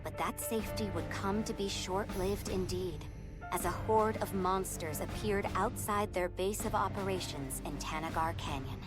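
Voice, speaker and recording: A woman playing a solemn storyteller who narrates a video game legend in measured, literary phrases. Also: medium pitch, over music, lightly acted.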